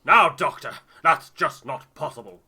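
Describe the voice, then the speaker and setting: A man puts on a stubborn, hearty ship's navigator from a survival game. The delivery is emphatic and blustering, with a very bright, forceful edge. He sounds like a proud man of middle age. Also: fairly high pitch, animated, unhurried, slightly rough, voice pushed up, heavily acted.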